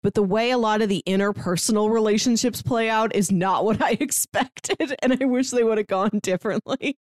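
A woman's voice: mid-range voice, brisk, a little mellow, no echo, studio quality. A woman co-hosting a podcast, talking casually through a review and sometimes laughing lightly as she speaks. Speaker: podcast co-host. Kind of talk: casual conversational book review.

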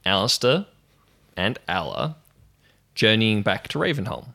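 A man's voice: fairly low pitch, sing-song, a little slow, gravelly, sharp tone. A man narrating a tabletop roleplay as the game master. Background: music.

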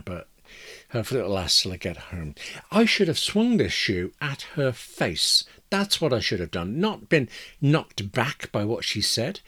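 A man's voice: medium pitch, a bit bright. Man reading as bitter, anxious woman, swooping intonation. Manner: agitated, wry, self-interrupting inner monologue. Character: bitter, anxious woman stewing after losing her job. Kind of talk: dramatic first-person short story reading.

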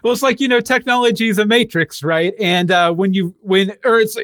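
Male podcast host, high-pitched voice, close dry sound, casual interviewer delivery. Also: brisk, fairly bright tone, dry recording.

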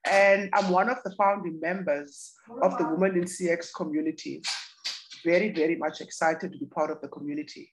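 A woman's voice: on the low side, fairly even intonation, a little fast, rough recording. A woman from South Africa with a South African accent, a podcast guest, introducing herself slightly hesitantly. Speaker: podcast guest, community founder. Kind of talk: casual interview talk.

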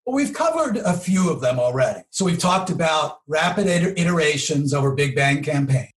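Male interview guest, slightly high voice, conversational explainer who tags points with rhetorical questions. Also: lively intonation, fairly quick. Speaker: marketing expert guest.

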